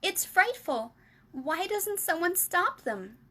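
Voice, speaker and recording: A woman reading aloud and voicing Sophie, a curious, earnest young girl with a high, eager voice. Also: very animated, slow pace, heavily acted. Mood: upset. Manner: eager, questioning, high and bright.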